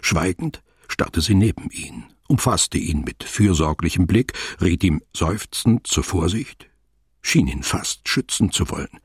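Deep husky guy narrator for a literary radio drama, measured n formal, close dry booth sound. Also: very animated, no echo, heavily acted. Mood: sober, measured. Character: sober, deliberate male narrator of a literary story.

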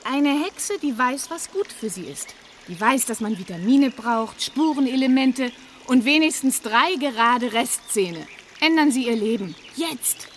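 Cheeky lecturing heroine in a kids radio play, high voice, faint noise behind. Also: female voice, lively intonation. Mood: brisk, persuasive. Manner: brisk, lecturing, persuasive. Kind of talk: children's radio play acting.

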